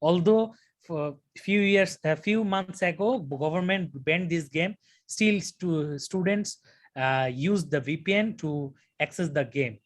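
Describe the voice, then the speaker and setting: Brief: young Bangladeshi man, exam candidate, halting, fairly high pitch. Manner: hesitant, many fillers and restarts. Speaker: test candidate. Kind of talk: mock speaking exam answers.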